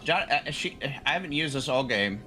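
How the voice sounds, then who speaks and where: A man's voice: on the high side, lively intonation, normal speed, sharp tone. A man playing a tabletop game, casually asking questions and narrating his character's moves. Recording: background music under the voice.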